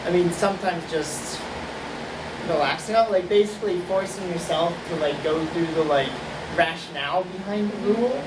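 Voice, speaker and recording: Casual low-voiced woman, far off. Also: moderate pace. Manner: casual, loose and rambling. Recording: echoey room, distant mic, loud hiss.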